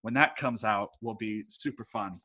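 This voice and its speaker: A man's voice: on the low side, moderate pace, bright, forceful tone. A man in his twenties from Texas, a guest on a podcast chatting casually and enthusiastically, full of "like" and "uh". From Texas, USA. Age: 20s. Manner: enthusiastic and rambling, heavy use of "like" and "uh". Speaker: podcast guest, host of a film podcast.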